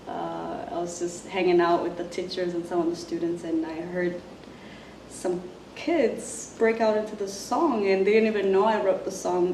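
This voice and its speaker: A woman from Zimbabwe, introducing herself as a musician in a soft, halting way, with many fillers. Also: on the low side, gravelly. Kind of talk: casual self-introduction to camera. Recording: roomy, distant mic, faint noise.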